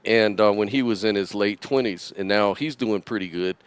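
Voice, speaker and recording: Smooth, low-voiced man telling a story. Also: moderate pace, no echo. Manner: rambling, relaxed storyteller. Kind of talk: casual storytelling.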